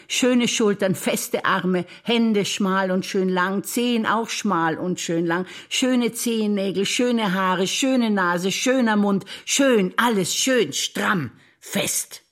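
An older woman from Bavaria, a stage actor, reciting memories in a measured, reflective way. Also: medium pitch. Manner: measured, reflective, list-like delivery.

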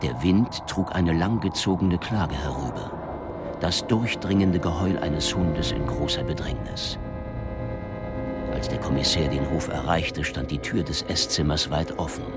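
A man with a very deep voice narrates a scripted radio crime drama. Loud music plays underneath his words. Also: measured pace.

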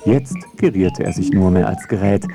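A low-pitched male narrator reads a scripted feature essay. His delivery is wry and dryly ironic, with music audible behind him. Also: normal speed.

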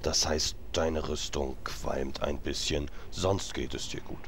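A man's voice: extremely low pitch, fairly even intonation, slow, fairly bright tone, slightly rough, full character voice. A man acting an exasperated game master in a comedy audio drama, explaining things in a weary, impatient way. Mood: weary narrating. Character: exasperated male game master of a tabletop group.

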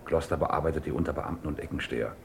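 An actor playing a cool, efficient aide to a scheming financier in a vintage German radio crime play, dry and precise. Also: male voice, extremely low pitch, fast, vocal fry, background noise. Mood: crisp reporting.

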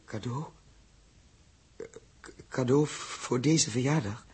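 An actor playing a troubled, melancholy man lost in his memories, halting in speech, in 1960s radio drama style. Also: medium pitch, a little mellow, a little hiss or hum, close to an everyday voice. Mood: hesitant. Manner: hesitant, halting.

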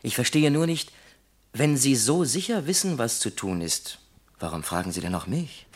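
An actor playing a detached, lecturing factory psychologist in a radio play, analytical, pedantic and wryly sceptical. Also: male voice, medium pitch, sing-song, normal speed, breathy edge. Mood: wry, advising. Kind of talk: German radio play acting.

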